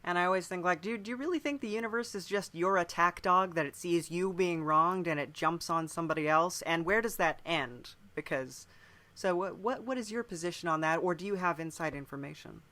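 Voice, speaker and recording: A man's voice: high voice, brisk, sharp tone. A man working as a podcast interviewer, chatting casually in a musing, discursive way.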